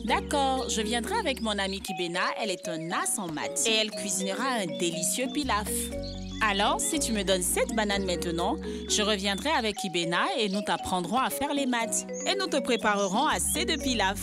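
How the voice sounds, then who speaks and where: A woman voicing a friendly, eager young girl in a dubbed educational cartoon, explaining things earnestly and clearly. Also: mid-range voice, expressive, normal speed, close to an everyday voice. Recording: music behind the voice.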